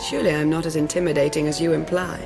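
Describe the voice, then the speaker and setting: A woman playing a composed, formal and self-assured dark lord in a video game. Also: sits low, flat delivery, racing, gravelly. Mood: cool, guarded. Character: poised, composed female Sith Lord. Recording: long reverb, faint music. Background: music.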